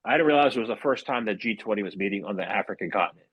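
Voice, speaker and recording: A man's voice: fairly low pitch, expressive, rapid-fire, slightly rough, dry recording, muffled recording. A man hosting a podcast interview, upbeat and prone to repeating words as he thinks. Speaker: podcast host.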